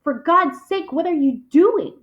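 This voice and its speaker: A woman's voice: extremely high pitch, lively intonation, unhurried, fairly bright tone, dull audio, voice pushed up, full character voice. A woman reading the part of an alarmed husband pleading urgently with his wife in a story.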